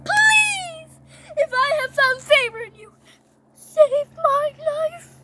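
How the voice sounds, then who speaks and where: A woman's voice: very high-pitched, very animated, slow, pitched above the performer's own voice, full character voice. A young female storyteller playing a pleading, desperate queen in a Bible tale, with a wailing, emphatic delivery. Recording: clearly noisy recording.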